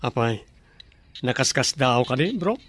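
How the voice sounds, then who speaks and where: An actor playing an earnest, insistent young adult son in a radio drama, speaking with an Ilocano accent. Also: male voice, medium pitch, very animated, a little slow, a bit bright. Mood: teasing.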